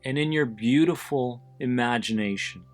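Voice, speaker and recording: A man leading a guided visualization in a deliberate, soothing manner, pausing between short phrases. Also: medium pitch. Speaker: host of an anxiety support podcast, guiding listeners. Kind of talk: guided visualization, meditation narration. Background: background music.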